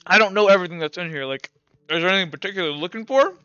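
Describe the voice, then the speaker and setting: Bright-voiced rambling game master, lo-fi. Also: male voice, sits high, rapid-fire, smooth, no room sound. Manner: rambling, heavy use of "like" and "uh" fillers. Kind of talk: narrating a tabletop game over voice chat.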